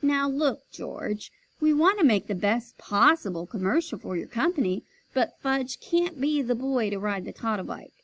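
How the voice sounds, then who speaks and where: A woman reading aloud, voicing a calm, firm father who reasons with a pushy client and his sons. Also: a little high, moderate pace, few pauses, rough recording. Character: patient but firm father, an ad man.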